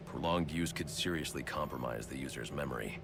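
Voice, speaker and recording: A man's voice: a little fast, noisy background. An actor playing a grim, brooding male vigilante detective in a video game, speaking in terse, clipped lines. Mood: analytical. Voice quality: deep gravelly. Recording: game soundtrack music behind.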